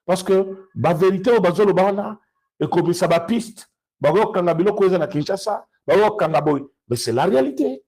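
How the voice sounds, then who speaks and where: A man with a Congolese accent speaks in a fairly high, distinctly husky voice. He gives off-the-cuff political commentary, moving between two languages. He is emphatic and repeats phrases to drive them home. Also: lively intonation, fairly quick, darkish tone.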